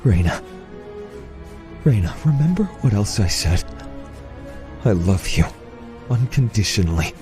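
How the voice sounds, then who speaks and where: A man's voice: fairly low pitch, very animated, a little slow, pauses often. A man playing a tender, devoted young hero in an audio drama. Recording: music under the voice.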